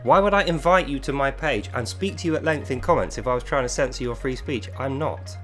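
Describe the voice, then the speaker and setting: A British man from England speaks in a medium-pitched voice as an online video creator. He is confrontational and insistent, pressing his points emphatically at a single listener. Faint music plays behind him. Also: a little fast, a bit bright. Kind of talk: direct-address monologue to camera or mic.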